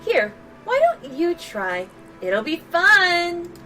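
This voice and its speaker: Girl doing a bubbly wide-eyed game sidekick, really high voice, bouncy pitch, loves blowing stuff up. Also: big pitch swings, extremely slow, pushed and bright, faint music behind, heavily acted. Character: excitable, cheerful, explosives-loving young female sidekick.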